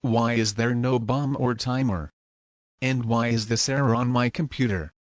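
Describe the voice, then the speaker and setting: A man's voice: mid-range voice, moderate pace, no echo, lightly acted. A man playing a whiny, complaining young man who is annoyed at his computer. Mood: excited.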